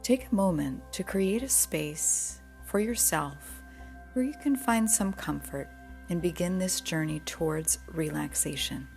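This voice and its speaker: A woman guiding a relaxation meditation in a gentle, soothing, unhurried manner, with soft breathy moments. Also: deep voice. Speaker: yoga nidra guide.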